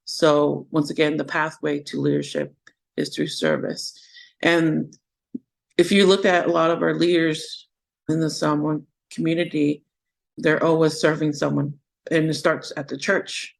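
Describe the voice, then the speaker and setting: A woman's voice: sits low, a little slow, gravelly. A woman from the United States, a guest on a podcast, talking in an upbeat, affirming way and often checking in with "right". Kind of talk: casual interview chat.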